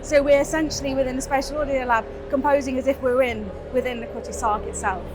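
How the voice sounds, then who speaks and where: A woman's voice: high-pitched, fairly even intonation, fast, over loud music. A woman composer explaining the technical side of a spatial audio piece, with some hesitant fillers. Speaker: composer and researcher. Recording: loud background noise. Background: noise.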